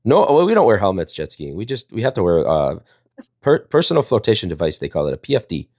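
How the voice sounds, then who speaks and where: Rambling, animated man. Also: low-pitched, fast talker, no echo, clean studio sound. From Wisconsin, United States. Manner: rambling, jokey, playful. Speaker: storyteller and live panel host. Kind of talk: casual chat and storytelling.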